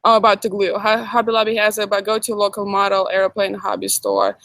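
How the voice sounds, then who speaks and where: A woman's voice: medium pitch, brisk, fairly bright tone, no room sound. A young woman from Russia with a Russian accent, hosting a casual livestream Q&A and chatting as she answers viewer comments. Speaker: livestream host. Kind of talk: casual live chat Q&A.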